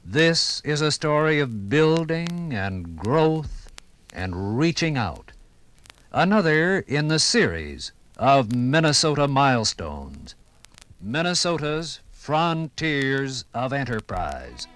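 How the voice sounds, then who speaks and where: A man's voice: medium pitch, very animated, slow, a bit bright. A man announcing a 1950s radio series in scripted narration.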